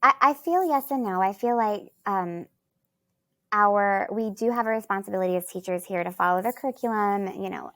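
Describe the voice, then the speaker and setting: A woman's voice: medium pitch, moderate pace, fairly bright tone, no echo. A woman from Alaska, a third-grade teacher and guest, thinking aloud in a rambling way with many restarts and "you know"s. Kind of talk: conversational interview answers.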